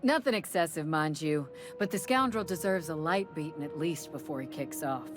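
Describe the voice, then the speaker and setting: A gruff, blunt commandant in a game, with folksy, plainspoken talk and a low voice. Clear music runs behind her. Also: expressive, normal speed. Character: gruff female commandant, vengeful bounty giver.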